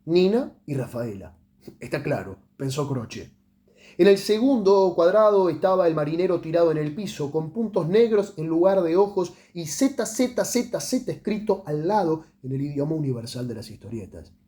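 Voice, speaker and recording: Guy reading a short story, highish soft-edged mellow voice, dramatic pauses. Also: expressive. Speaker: audiobook reader. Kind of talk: literary short story narration.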